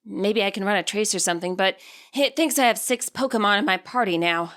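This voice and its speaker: A woman's voice: mid-range voice, fairly quick, a bit bright, close to an everyday voice. A woman voicing a guarded, practical teenage girl in an audiobook story, matter-of-fact and wry. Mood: dry, practical.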